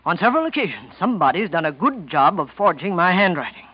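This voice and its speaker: An actor playing a polite, scheming bookkeeper who feigns sincerity in a 1940s radio drama. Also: male voice, high-pitched, very animated, fast talker, husky, exaggerated voice. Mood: earnest, confiding. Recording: dull vintage 1940s radio recording.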